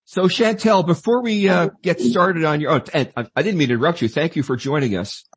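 A man with a husky, slightly raspy voice, pitched a little high for a man, asks an interview guest questions. He stumbles and restarts often, circling back before getting his question out. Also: brisk. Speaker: co-host.

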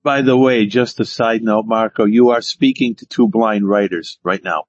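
Low-voiced man on dull lo-fi audio. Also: fairly even intonation, normal speed.

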